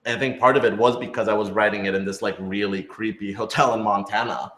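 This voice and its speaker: A man chatting casually and thinking aloud as a writer answering questions on a panel, in an animated, informal way. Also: fairly low pitch, quick pace. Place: echoey room, live streamed panel. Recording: roomy, echoey sound.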